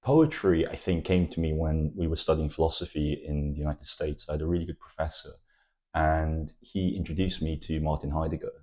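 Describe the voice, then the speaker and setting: A man from Wales whose first language is Welsh, an architect giving thoughtful, poetic interview answers with frequent pauses and restarts. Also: a bass voice, steady tone, mellow.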